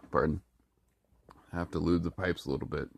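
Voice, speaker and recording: A speaker with a deep voice who has been a little sick, talking casually to the camera in a halting way with many fillers and restarts. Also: male voice, slightly rough. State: a little sick, throat-clearing. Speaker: vlogger.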